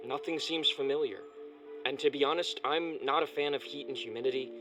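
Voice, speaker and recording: A man's voice: mid-range voice, brisk, close to an everyday voice. A male actor playing a lost, anxious boy in a family audio drama, earnest and questioning. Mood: brooding. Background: prominent music.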